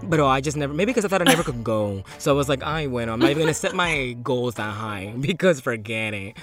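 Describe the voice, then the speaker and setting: A man's voice: mid-range voice, animated, quick pace, a bit bright. A man co-hosting a casual radio show, chatting with playful banter and slipping into Spanish. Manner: bilingual banter, switching to Spanish. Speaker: co-host.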